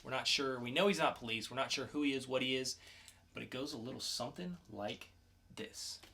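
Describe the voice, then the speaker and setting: A man's voice: medium pitch, fairly quick, gravelly, bright, forceful tone. A man co-hosting a podcast, chatting casually about comics. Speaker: podcast co-host.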